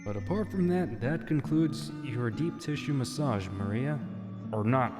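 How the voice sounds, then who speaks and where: Male ASMR roleplay streamer, mid-pitched, courteous and playful; long reverb, clear guitar music behind. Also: lively intonation, measured pace. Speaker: livestream host. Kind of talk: casual ASMR roleplay stream, chatting to viewers.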